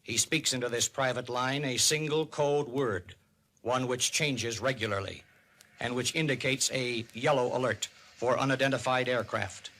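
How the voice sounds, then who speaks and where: An American man narrating a 1950s radio civil defense documentary in a grave, emphatic, deliberate manner. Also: medium pitch, sharp tone. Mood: grave, urgent. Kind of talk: 1950s radio documentary narration, dramatic public-service announcing. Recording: vintage 1950s radio recording.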